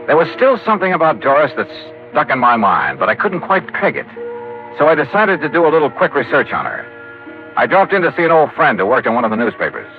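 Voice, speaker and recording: A tough, wry American private investigator in an old radio drama. His delivery is clipped and dry, swinging freely in pitch as he tells his case. It sounds like a tinny vintage broadcast. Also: sing-song, fast, soft background music. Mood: dry, matter-of-fact. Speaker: lead actor and narrator. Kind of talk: 1950s radio detective drama acting and narration.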